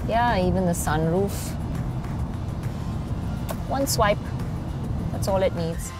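A woman's voice: mid-range voice, slow. A middle-aged woman presenting a travel and driving show, describing sights and car features with enthusiasm. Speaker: motoring journalist and travel host. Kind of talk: travel vlog narration and on-camera commentary. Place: underwater observatory, then inside a car. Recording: voice with loud background music.

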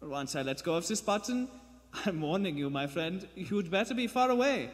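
Radio-play villain played by a man: elderly professor, deliberate, ominous, long hall reverb. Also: high-pitched, lively intonation. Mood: menacing. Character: menacing, slow-spoken old professor with a bomb. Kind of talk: 1940s radio play acting. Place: theater stage in front of an audience. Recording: live theater stage, long reverb, music behind.

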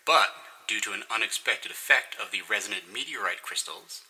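An actor playing a grave mission briefer in an audio drama, speaking in a portentous, precise way. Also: male voice, on the low side, fairly quick, sharp tone, low-quality recording. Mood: ominous. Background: music.

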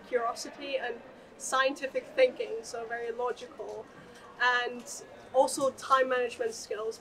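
A woman's voice: a little high, a bit bright, recorded from a distance, rough recording. A young woman from Austria, a PhD student, answering questions thoughtfully with small fillers and restarts. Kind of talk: answering interview questions to camera. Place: large open atrium, indoors.

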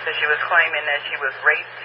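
A man's voice: on the high side, flat delivery, a little fast. A man working as a police dispatcher, reading out call details in procedural style over a two-way radio. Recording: noisy, muffled police scanner radio.

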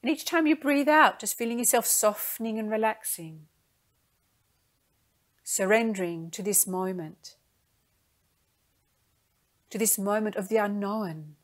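A woman leading a guided meditation in a gentle, soothing, unhurried manner, speaking in soft phrases. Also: mid-range voice.

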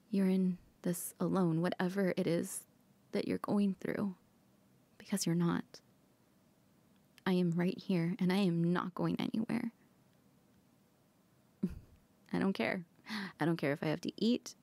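A woman whispering softly in an ASMR comfort roleplay, gently reassuring a partner. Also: mid-range voice, creaky, dry recording.

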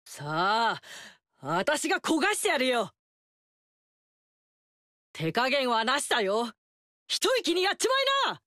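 A woman's voice: a little high, very animated, slow pace, pauses often, a bit bright, no echo, clean studio sound, heavily acted. An actress playing a fiery, confident warrior queen in a video game, with brash, teasing, tomboyish lines.

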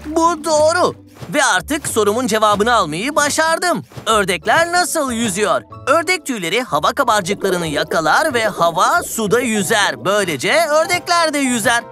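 Excitable, high-pitched boyish cartoon explorer. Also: female voice, normal speed. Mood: fascinated. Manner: exclamatory, wonder-filled, enthusiastic. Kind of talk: dubbed children's educational cartoon acting. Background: music.

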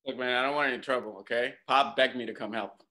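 A man's voice: medium pitch, fairly even intonation, fast talker, sharp tone, natural voice. An actor playing a mopey, defensive young man who fancies himself a chef, in a table read. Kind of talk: casual dramatic table read. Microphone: video call.

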